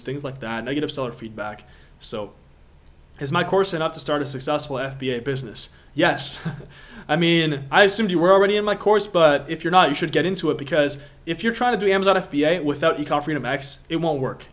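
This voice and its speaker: A young man from Latvia hosts a live question-and-answer stream as a business coach. His voice sits a touch high for a man. He talks in an emphatic, pushy way and hammers points home by repeating them. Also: a bit bright.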